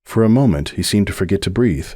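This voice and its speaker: A man's voice: fairly low pitch, lively intonation, fast talker, mellow, dry recording. Man narrating fiction in a dry recording. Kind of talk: dramatic fiction reading, scripted narration.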